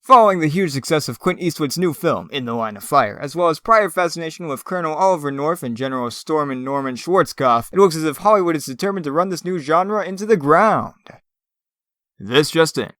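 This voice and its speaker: Man playing a high-pitched entertainment news reporter. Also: lively intonation, fairly quick, a bit bright, dry recording, studio quality. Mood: glib, wry. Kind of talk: scripted news reading in a comic dub.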